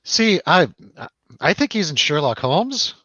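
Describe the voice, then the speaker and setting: Slow-talking, jokey man hosting casually. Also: fairly high pitch, big pitch swings, bright, forceful tone, no room sound, highs cut off. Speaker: host of a film review show. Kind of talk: casual film chat.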